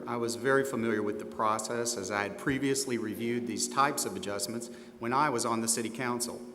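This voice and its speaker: A man's voice: mid-range voice, lightly acted. A man playing an older small-firm accountant, confident and a little defensive, answering questions in a scripted role-play. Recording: echoey hall, steady background room noise.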